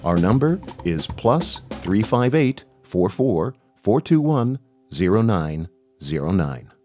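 Male promo announcer, low and animated, with loud music bed behind him. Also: takes it slowly, no room sound. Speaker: show announcer. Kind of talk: promo read over music.

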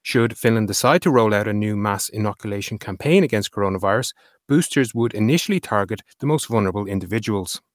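Irish man, low-pitched, dry studio read, news co-host. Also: fast, dry recording. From Ireland. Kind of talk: scripted news reading and casual banter.

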